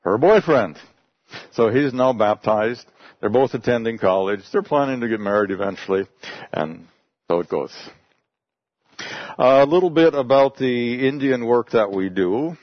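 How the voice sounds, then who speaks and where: A man's voice: mid-range voice, animated, a little slow, no echo. A man whose first language is English, a missionary telling a congregation about his work in a folksy, conversational storytelling way. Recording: dull lo-fi recording.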